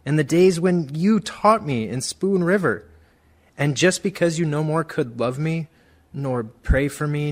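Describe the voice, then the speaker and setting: A man's voice: medium pitch, lightly acted. A man playing a grateful, remorseful man of the world, delivering an earnest, reflective radio play monologue.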